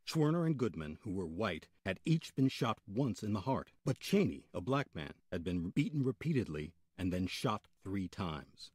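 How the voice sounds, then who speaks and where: A man narrating a scripted radio documentary as its host. His voice is lowish and husky, with lively intonation and deliberate dramatic emphasis. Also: no echo, clean studio sound.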